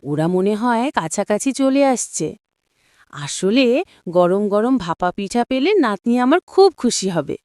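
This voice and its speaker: A woman's voice: mid-range voice, very animated, a little slow, darkish tone, smooth, no room sound, studio quality. A woman voicing a fussy but fond old village grandmother in a children's cartoon, chatty and chiding in colloquial speech. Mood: fussy, expectant. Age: older. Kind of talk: Bengali children's cartoon voice acting.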